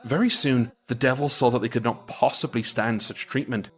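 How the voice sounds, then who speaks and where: A man narrating a folktale as storyteller in a dramatic, theatrical and expressive manner. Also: mid-range voice, moderate pace, breathy edge. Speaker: storyteller, podcast host.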